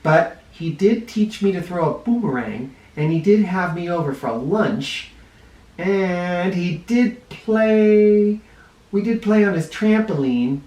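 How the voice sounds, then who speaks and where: Animated male storybook reader in an echoey room. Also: high-pitched, slow. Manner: animated, dramatic emphasis, speaking directly to young listeners. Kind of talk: expressive read-aloud storytelling for children. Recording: roomy, echoing, somewhat distant microphone.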